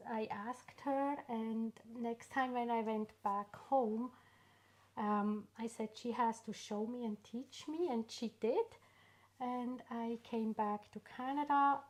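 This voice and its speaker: Slow-talking Swiss woman telling her story. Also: a little high, steady tone. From Switzerland. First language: not English. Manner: unhurried, warm storytelling with frequent pauses and fillers. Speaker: artist guest. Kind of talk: casual interview.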